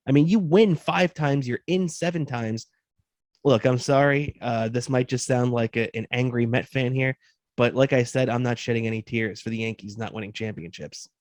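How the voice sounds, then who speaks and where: A man's voice: mid-range voice, dry recording. A man in his thirties co-hosting a sports podcast, talking casually and laying out his opinions. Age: 30s.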